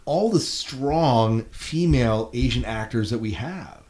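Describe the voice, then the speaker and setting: A man hosting a podcast in casual discussion, halting and repeating phrases as he makes his point. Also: mid-range voice, expressive, a little mellow. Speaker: podcast host.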